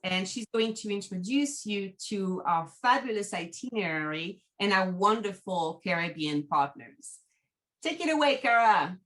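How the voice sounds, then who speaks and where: A woman with a French accent hosts a travel video podcast. She sounds middle-aged and speaks at an ordinary female pitch, delivering a prepared presentation to her audience. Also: lively intonation, unhurried, a bit bright, low-quality recording. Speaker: podcast host, travel company team manager.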